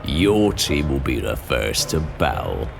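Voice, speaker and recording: A man voicing a gruff, brutish male pig-man who runs an arena, talking in coarse, mocking slang. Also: very deep voice, very animated, takes it slowly, heavily acted. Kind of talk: audio drama roleplay. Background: background music.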